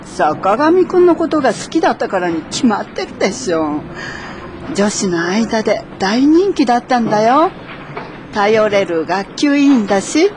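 Frank, lively-pitched woman. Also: measured pace, background noise. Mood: teasing. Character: frank, teasing adult woman, former classmate. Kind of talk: naturalistic radio drama acting.

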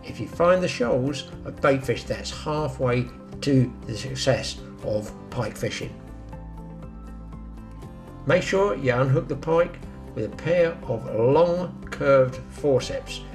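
A man's voice: mid-range voice, expressive, slow pace, music in the background. Older man giving chatty fishing tips outdoors. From England. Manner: practical, chatty, talks straight to viewers. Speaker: fishing show host. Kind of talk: instructional outdoor fishing talk. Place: outdoors by a reedy pond. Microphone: camera mic, some lines from further off.

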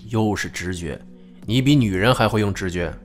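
Low, easygoing male cop colleague acted by a man. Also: moderate pace, soft background music, natural voice. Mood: teasing. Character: easygoing male police colleague, teasing and slightly evasive. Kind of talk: Chinese audio drama acting, conversational.

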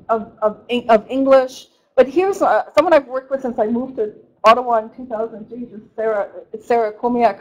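A woman's voice: a little high, darkish tone, poor audio. A woman researcher giving an academic presentation in a lecture room, speaking conversationally with frequent restarts and self-corrections.

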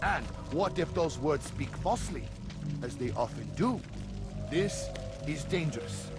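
A man's voice: on the high side, animated, measured pace, over loud music. A man acting a grim, self-righteous book-burning zealot in a video game cutscene, preaching in a declamatory way. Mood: scornful preaching.

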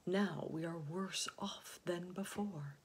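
A woman voicing a kindly old male green grasshopper, measured and courteous, in a children's storybook. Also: fairly low pitch, slight background noise. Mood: dismayed. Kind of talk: children's storybook read aloud.

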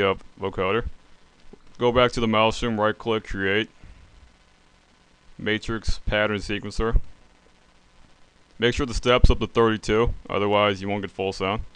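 A man narrating an unscripted software tutorial as a voice-over, instructing plainly step by step. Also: fairly low pitch, clear, resonant tone, rough recording. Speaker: tutorial presenter.

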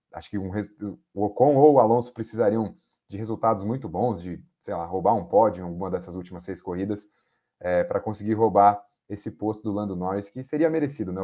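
A young man with a Brazilian Portuguese accent, giving measured, hesitant analysis on a podcast video call. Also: lowish voice, mellow. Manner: measured, hesitant, many fillers. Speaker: podcast panelist. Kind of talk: casual analytical chat.

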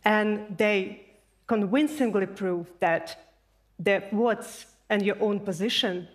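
Woman, ukrainian accent, thirties, unhurried and careful, non-native english, headset mic on a big stage. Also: medium pitch. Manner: measured, deliberate, non-native phrasing. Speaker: human rights lawyer, guest. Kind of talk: stage interview answers. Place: large conference stage before an audience.

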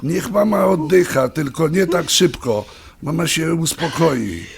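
A man playing an exasperated, suspicious grown son in an audio drama, speaking insistently in a raspy voice. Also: on the high side, expressive, a little slow, heavily acted. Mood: alarmed. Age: adult. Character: exasperated grown son confronting his scheming mother.

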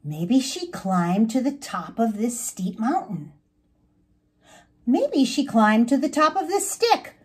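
An earnest young boy caterpillar from a storybook, acted out by a woman reader. He speaks in a high, swooping voice with a husky edge, drawing out his words eagerly. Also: exaggerated voice. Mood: searching, calling. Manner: expressive, drawn-out calling and musing.

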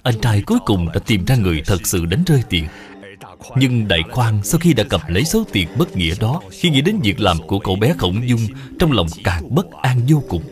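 A man's voice: lowish voice, very animated, a little fast. A man reading scripted moral storytelling as a narrator.

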